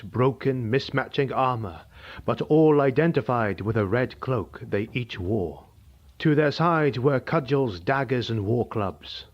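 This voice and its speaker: Measured man narrating an audiobook. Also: medium pitch. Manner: measured, dramatic. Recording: dull lo-fi recording.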